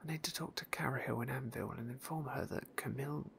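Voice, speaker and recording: Man, ASMR game narrator, genteel, unhurried, heavy vocal fry, crisp bright whisper. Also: medium pitch, slight background noise. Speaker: ASMR roleplay gamer narrating a playthrough.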